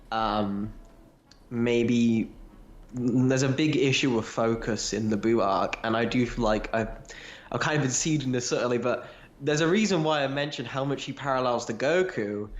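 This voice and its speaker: A man's voice: medium pitch, moderate pace. Man in casual discussion, echoey lo-fi recording. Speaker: podcast co-host. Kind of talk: casual analytical fan discussion. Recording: roomy echo, slightly dull lo-fi sound.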